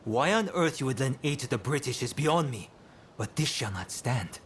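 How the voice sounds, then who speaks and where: An actor playing a stern, proud samurai in a video game cutscene, speaking in a formal, blunt way. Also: male voice, lively intonation, moderate pace, fairly bright tone, lightly acted. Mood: indignant. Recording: rumbling background noise.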